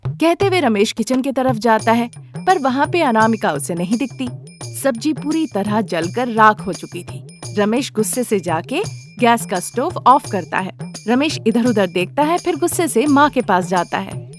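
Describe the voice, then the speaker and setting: A woman narrates an animated bedtime story in a high-pitched voice. The delivery is scripted storytelling, with faint music underneath. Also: moderate pace.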